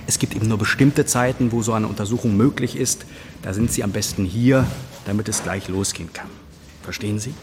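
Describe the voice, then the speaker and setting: An actor playing an officious hospital staffer in a radio drama, insistent, bureaucratic and somewhat patronising about rules. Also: male voice, on the low side, natural voice. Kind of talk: German radio drama acting. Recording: long reverberant hall, clear background noise.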